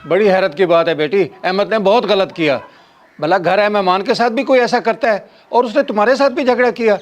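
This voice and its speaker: Actor as a high-voiced advising father. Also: brisk, muffled recording, slight background noise, close to an everyday voice. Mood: concerned. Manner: paternal, advising. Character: counselling father of a grown daughter, money-minded. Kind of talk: acted moral-story drama dialogue.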